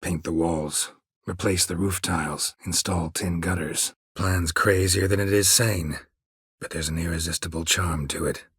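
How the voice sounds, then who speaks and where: A man voicing a gruff, stoic, world-weary monster hunter in a video game, speaking tersely in a husky rasp with dry, deadpan understatement. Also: deep voice, unhurried, dry recording, full character voice. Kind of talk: video game character dialogue.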